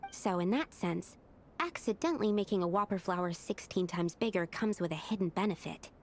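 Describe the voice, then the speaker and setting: A woman's voice: mid-range voice, brisk, slight background noise, natural voice. A woman playing an earnest, studious young female alchemist in a video game, explaining things carefully and analytically. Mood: pleased, explaining. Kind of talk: video game character dialogue. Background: game music.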